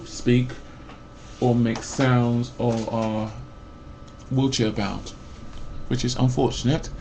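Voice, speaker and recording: A man in his forties, a mental health support worker, ranting about his job in a loud, rambling way full of fillers and restarts. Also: mid-range voice, vocal fry, slight background noise, poor audio. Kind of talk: rambling personal rant.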